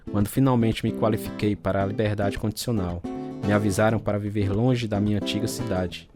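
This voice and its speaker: A man's voice: fairly low pitch, close to an everyday voice. An actor playing a troubled recovering alcoholic man, narrating his life story in an earnest, confessional way. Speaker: narrator and protagonist. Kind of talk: dramatized testimony narration with acted scenes.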